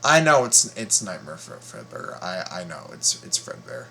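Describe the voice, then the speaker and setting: A man giving casual, excitable fan commentary in a halting, repetitive way, full of exclamations. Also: sits low, gravelly. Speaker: YouTube reactor and fan commentator.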